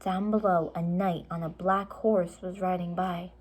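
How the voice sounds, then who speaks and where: A woman's voice: on the low side, fairly even intonation, measured pace, darkish tone. A woman reading a children's storybook aloud, in simple, explanatory style for young listeners.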